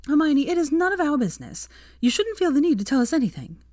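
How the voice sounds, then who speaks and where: A woman voicing a sensitive, supportive female friend in a story, gentle and encouraging. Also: high voice, very animated, fast talker, no echo, studio quality, pitched above the performer's own voice. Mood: reassuring.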